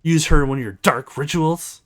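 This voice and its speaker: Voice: medium pitch, moderate pace, lightly acted. A woman playing a furious, hostile mother in a fantasy visual novel game, accusing and venomous, with music behind her. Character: furious hostile mother, suspicious witch-hater.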